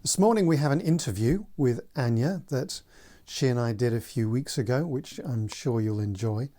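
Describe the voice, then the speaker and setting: A man's voice: medium pitch, moderate pace, mellow. Man welcoming a church gathering. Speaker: church leader, interviewer.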